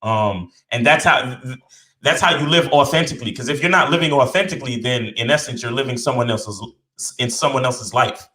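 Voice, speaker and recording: A middle-aged man, born in Jamaica and raised in Brooklyn, talking as a guest in a relaxed, humble way with many fillers and restarts. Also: medium pitch, a little fast, echoey room, rough recording. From born in Jamaica, raised in Brooklyn, New York. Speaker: podcast guest, dating coach. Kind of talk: casual interview chat.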